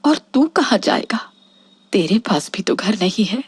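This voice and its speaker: A woman playing a hurt, plain-spoken elderly mother in a Hindi cartoon story, with a husky, breathy voice. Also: mid-range voice, big pitch swings, unhurried, no room sound, clean studio sound, heavily acted. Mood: caring.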